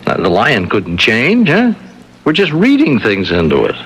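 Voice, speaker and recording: A man's voice: mid-range voice, huge pitch swings, measured pace, breathy edge, exaggerated voice. A man playing a sceptical, rationalizing husband in a 1970s radio mystery, talking in a hesitant but insistent conversational way. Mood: baffled, insistent. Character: married male playwright, sceptical, rationalizing husband. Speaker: radio drama actor. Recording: noisy 1970s radio broadcast recording.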